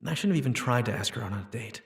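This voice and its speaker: A man playing a shy, earnest, nerdy high school boy in a manga dub, narrating and speaking in dialogue. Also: expressive, rapid-fire, creaky, slight background noise. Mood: glum, reflective.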